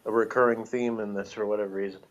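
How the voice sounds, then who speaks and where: A man co-hosting a review show in an informal chat, pausing in the middle of his thoughts. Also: lowish voice, level tone, highs cut off. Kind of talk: casual chat.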